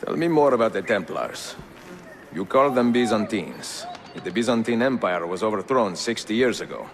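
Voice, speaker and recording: An actor playing an older, courteous and wry Italian master assassin in a video game, with an Italian accent and a measured, formal delivery. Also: male voice, fairly low pitch, lively intonation, slight background noise. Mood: thoughtful. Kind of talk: video game cutscene acting.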